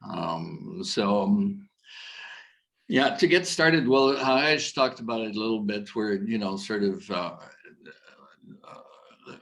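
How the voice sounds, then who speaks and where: A man's voice: fairly low pitch, expressive, slow, vocal fry, long pauses, a bit bright. A middle-aged man giving a casual, rambling talk on a video call at a conference, with many fillers and restarts. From Algeria. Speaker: coach and conference speaker.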